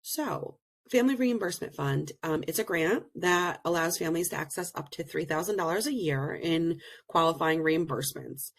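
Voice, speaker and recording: A woman presenting in an online learning session over a video call, slightly hoarse from being sick, explaining things earnestly with many pauses. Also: low-pitched, expressive. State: hoarse, recovering from illness. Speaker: presenter and program director. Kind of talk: webinar presentation.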